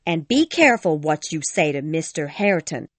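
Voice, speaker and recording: A woman's voice: low voice, big pitch swings, normal speed, dry recording. A woman as a firm housemaid, dry close sound. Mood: firm, rebuking. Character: plain-spoken female house servant, firm and unafraid. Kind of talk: dramatised audiobook dialogue.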